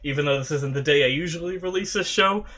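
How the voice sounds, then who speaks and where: A man's voice: on the high side, fast, sharp tone, slight background noise. A man hosting an anime reaction video, chatting casually to viewers while still sniffly and recovering from a sore throat. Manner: rambling, loose, talks with many fillers.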